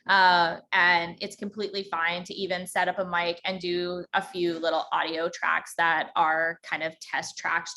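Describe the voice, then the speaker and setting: A woman with a slight central Alberta accent, a podcast host giving an informal teaching talk, talking fast with many ums. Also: lowish voice, pushed and bright.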